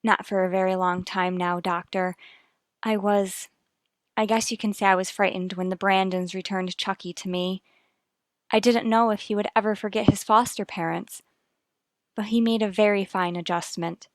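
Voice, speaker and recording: A woman's voice: medium pitch, fairly even intonation, normal speed, dry recording, clean studio sound, lightly acted. An actress playing a worried, earnest young mother in a vintage radio soap opera, confiding in a careful, hesitant way. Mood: guarded, earnest.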